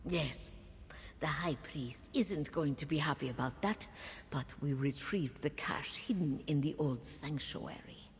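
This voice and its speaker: An actress playing a conspiring cultist in a video game, formal and secretive in manner. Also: female voice, low voice, measured pace, long reverb tail, slight background noise. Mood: conspiratorial. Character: conspiring female cultist reporting on a secret mission.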